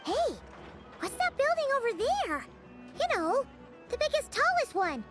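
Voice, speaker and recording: A woman voicing a tiny, childlike fairy companion in a video game, squeaky, chirpy, curious and exclamatory. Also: big pitch swings, measured pace, heavily acted. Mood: curious, eager. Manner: refers to self in third person, exclamatory. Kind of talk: video game character dialogue. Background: music.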